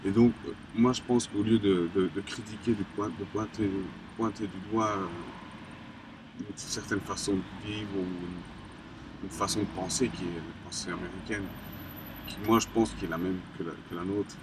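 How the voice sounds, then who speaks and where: A young man from Colombia, an interviewee, talking casually in halting phrases full of restarts and fillers. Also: fairly low pitch, steady tone. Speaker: graffiti artist, interviewee. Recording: distant mic with background noise.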